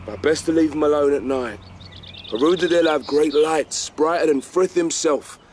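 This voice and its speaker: An actor playing a bold, gruff rabbit, the brash fighter of his group, in a radio drama. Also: on the high side, moderate pace, a little mellow. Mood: cocky, reassuring. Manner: brash, colloquial, cocky.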